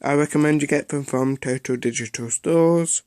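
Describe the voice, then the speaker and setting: A man giving a casual, unscripted product review in a halting way, often repeating himself. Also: a little high, darkish tone, clear, resonant tone, no echo, studio quality.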